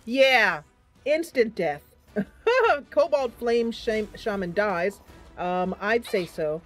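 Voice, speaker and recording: A woman's voice: mid-range voice, big pitch swings, extremely slow. Chatty woman streaming over game music. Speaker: streamer and host playing a fantasy role-playing game. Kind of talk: casual livestream gameplay commentary with narration read aloud.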